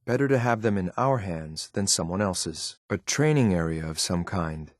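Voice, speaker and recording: A low, close and dry male voice for a video game synth enforcer. He speaks in clipped, controlled phrases, coolly watchful and faintly disdainful of what is around him. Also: expressive, normal speed, no room sound. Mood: detached, observant. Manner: clipped, matter-of-fact, controlled, faintly condescending. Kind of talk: video game companion voice lines.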